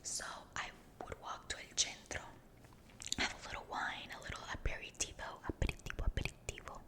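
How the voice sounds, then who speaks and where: A young woman whispering a rambling story close to the mic, softly repeating words to herself. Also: moderate pace. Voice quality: unvoiced whisper.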